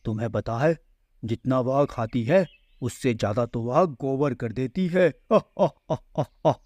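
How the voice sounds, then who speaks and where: A sarcastic, jeering farm animal from a children's cartoon , acted by a man, teasing in a mid-pitched male voice with a dry sound. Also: unhurried, darkish tone, no echo, natural voice. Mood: mocking. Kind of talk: children's cartoon story acting.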